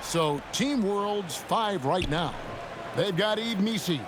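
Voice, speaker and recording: An American man doing crisp play-by-play commentary for a basketball video game. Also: high voice, expressive, takes it slowly, noisy background. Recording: loud music behind the voice. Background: loud music, bouncing basketball.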